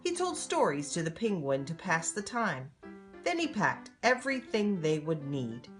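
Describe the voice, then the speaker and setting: Older female storyteller, grandmother type, slightly low register, highly varied intonation, simple direct delivery for young children. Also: big pitch swings, normal speed, faint music behind. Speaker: grandmother reading aloud to grandchildren. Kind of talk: expressive children's storybook read-aloud.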